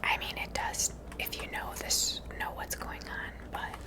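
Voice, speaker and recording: A woman whispering breathy ASMR commentary as she plays a game, musing aloud in an unhurried way. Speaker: gamer narrating a playthrough.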